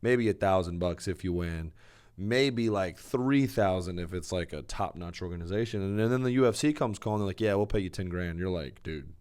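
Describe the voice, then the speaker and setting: A man's voice: deep voice, moderate pace, a little mellow, smooth. A man co-hosting a podcast, chatting casually and explaining sports details. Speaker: podcast co-host.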